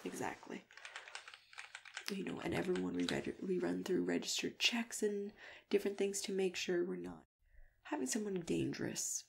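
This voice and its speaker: A woman speaking softly and breathily in an ASMR roleplay as a consultant, often slipping into a whisper, gentle and halting, close to the microphone. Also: lowish voice, expressive, creaky. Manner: gentle, unhurried, halting, with small agreeing hums. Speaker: ASMR roleplayer acting as a monster matchmaking consultant. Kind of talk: soft-spoken ASMR roleplay, improvised one-sided client consultation. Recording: close ASMR recording with typing, clicks, hiss.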